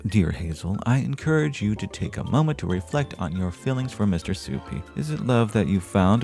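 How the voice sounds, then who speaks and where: A man playing a genteel, earnest podcast host, speaking scripted lines in a formal, florid, measured way. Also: deep voice, darkish tone. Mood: gentle, solemn.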